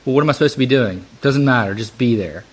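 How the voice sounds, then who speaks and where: A man around his thirties or forties hosts in a casual, conversational style. He speaks quickly in a mid-range voice, as in a relaxed interview chat. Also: mid-range voice. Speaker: podcast host.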